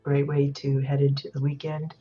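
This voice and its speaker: A woman hosting a game livestream in casual chat, a little hoarse and stuffed up, rambling with many ums and uhs. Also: low voice, little pitch movement, moderate pace, soft background music. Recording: slightly dull lo-fi audio.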